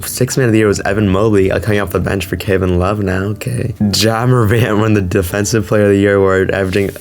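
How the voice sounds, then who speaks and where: A man's voice: lowish voice, fairly even intonation, fast talker. A man who is slightly sick, hosting a gaming video with casual, chatty commentary on a basketball game. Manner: informal, chatty. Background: background music.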